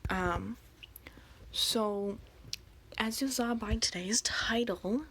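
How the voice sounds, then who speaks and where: A teenage girl talking casually to her channel, husky as she loses her voice, rambling with many fillers and restarts. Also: medium pitch, very slow, a bit bright, rough recording. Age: teens. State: losing her voice. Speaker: vlogger. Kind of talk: casual chat to camera. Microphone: home microphone.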